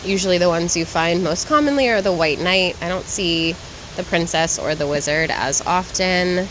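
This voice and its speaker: A chatty woman talks through her walk around a garden center, naming plants and prices as she browses. She sounds worn out and slightly raspy from too little sleep. A steady hiss sits under her voice on the handheld recording. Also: fairly low pitch, normal speed, poor audio. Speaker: plant vlogger. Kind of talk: casual walk-and-talk vlog. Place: garden center shop floor.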